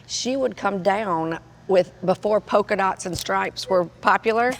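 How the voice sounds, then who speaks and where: Woman, sixties, podcast guest, animated storyteller, long reverb, faint hiss. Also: mid-range voice, measured pace. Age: 60s. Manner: animated storyteller, laughs often. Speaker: guest, mother. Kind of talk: casual storytelling.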